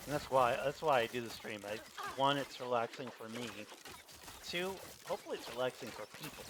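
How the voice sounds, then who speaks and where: A man streaming a game, chatting in a relaxed, rambling way. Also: mid-range voice, brisk, background noise. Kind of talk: casual commentary while gaming.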